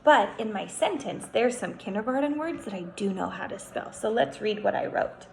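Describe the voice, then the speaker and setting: A young woman teaching kindergartners in a read-aloud style, instructive and animated, stretching words for emphasis. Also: mid-range voice, normal speed, creaky. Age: young adult. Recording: roomy echo, faint background noise.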